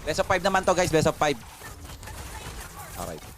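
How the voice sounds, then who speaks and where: A Filipino man with a voice a little on the high side reacts casually to a game as co-commentator. His remarks are short interjections, heard with clear background noise. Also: sing-song, moderate pace.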